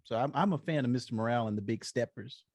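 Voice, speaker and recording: A man's voice: mid-range voice, quick pace, a little mellow, no room sound, clean studio sound. A man co-hosting a podcast in a casual chat, with an upbeat, friendly, encouraging manner. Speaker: podcast co-host.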